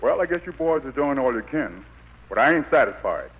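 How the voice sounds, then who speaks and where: An actor playing a cruel, blustering old Pharaoh in a folksy dialect, in 1940s radio style. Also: male voice, mid-range voice, big pitch swings, a little fast, fairly bright tone, low-quality recording. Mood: cruel, dissatisfied. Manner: folksy Southern dialect, bossy. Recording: 1940s radio broadcast recording.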